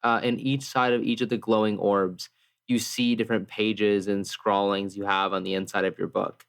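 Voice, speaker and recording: A man improvising story narration and casual table talk in a tabletop game, haltingly searching for phrases. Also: on the low side, little pitch movement, creaky, a bit bright, smooth.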